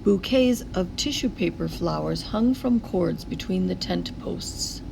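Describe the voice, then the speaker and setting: A woman reading a children's picture book aloud in a deliberate, expressive storytelling manner. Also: on the low side, vocal fry, background noise. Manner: deliberate storytelling with acted character voices.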